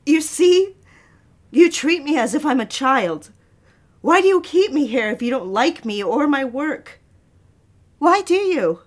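A woman's voice: high voice, measured pace, long pauses. An actress voicing an earnest, ambitious young female secretary with wounded feelings in an audio drama. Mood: upset, pleading. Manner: expressive, earnest. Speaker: actress narrating and playing lead. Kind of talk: dramatized first-person narration and dialogue.

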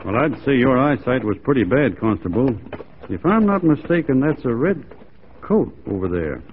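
An actor playing a loyal, easygoing frontier adventurer with dry, folksy irony in 1940s radio style. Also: male voice, medium pitch, very animated, normal speed, lightly acted. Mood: wry. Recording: tinny, faintly noisy 1940s radio recording.

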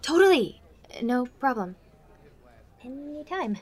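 An actress playing an anxious, self-deprecating teenage girl with superpowers in an audio drama, speaking in a halting, confiding way. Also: high voice, very animated, slightly rough, exaggerated voice. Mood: awkward, nervous. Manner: confiding, halting, with nervous laughs and sighs. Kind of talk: first-person audio drama narration and dialogue.

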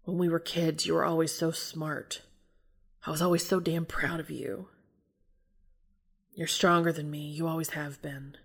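A woman's voice: sits low, little pitch movement, unhurried, vocal fry, pauses often, slightly rough, exaggerated voice. Woman reading as a weary, despairing older brother. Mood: weary, tender grief. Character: despairing sick man, loving older brother, resigned farewell.

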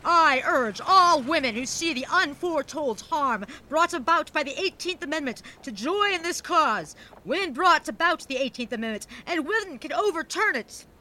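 An actress playing a bold, sassy soapbox activist with a brash way of talking in a 1920s period radio drama. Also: female voice, sits high, expressive, normal speed, hardly any pauses, sharp tone. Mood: impassioned.

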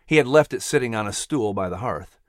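A male storyteller reads a children's audiobook with expression. His mid-pitched voice moves up and down in a lively way, and the recording is dry, with no room sound. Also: fairly quick, dry recording. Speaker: storyteller and host.

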